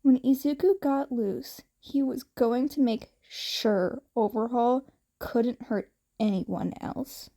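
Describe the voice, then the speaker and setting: A woman reading fiction aloud as an expressive story narrator. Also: on the high side, slow pace. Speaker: podfic narrator.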